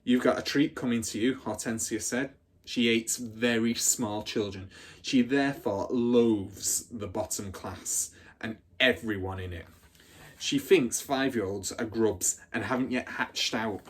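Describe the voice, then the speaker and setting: A rugged, husky-edged ten-year-old bully girl played by a man in a children's storybook. She is scornful and boastful, and relishes every grisly detail with dramatic emphasis. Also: mid-range voice, a little slow.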